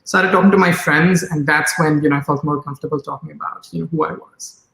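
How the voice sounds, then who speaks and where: Indian guy in his 30s, talks fast, expressive casual storytelling, roomy echo on it. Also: a little high, vocal fry. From New Delhi, India. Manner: expressive, acts out quoted dialogue. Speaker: interview guest. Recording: echoey, roomy sound.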